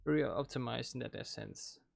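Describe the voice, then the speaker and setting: A young man speaks English with a Danish accent, his voice gravelly with a touch of fry. He rambles casually and hesitantly, full of ums and restarts. The sound is close and dry, with no room around it. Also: medium pitch, moderate pace, no echo. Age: young adult. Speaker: gaming channel host. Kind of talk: casual commentary wrapping up a playthrough. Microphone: desk microphone.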